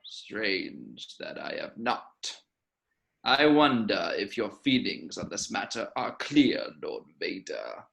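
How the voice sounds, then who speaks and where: A man playing a sinister, commanding old emperor in an amateur table read, slow and menacing, with a gravelly voice. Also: fairly low pitch, sing-song, a bit bright, full character voice. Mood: cold, scheming. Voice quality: gravelly, creaky. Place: remote video call from home.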